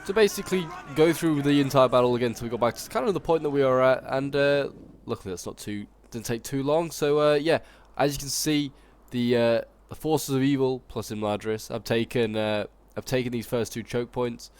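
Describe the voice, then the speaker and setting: Man, game commentator, snuffly from a cold, wry, rambling, mid-pitched voice. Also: a little fast, smooth. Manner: chatty and wry, with frequent ums and self-corrections. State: stuffy with a cold. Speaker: game channel host commenting a battle replay.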